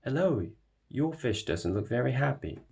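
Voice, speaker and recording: A man's voice: mid-range voice, sing-song, normal speed, vocal fry, a little mellow, slightly rough, pitched above the performer's own voice, full character voice. A man voicing a friendly, helpful male bull in a children's storybook, with a hearty manner. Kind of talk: children's storybook read-aloud.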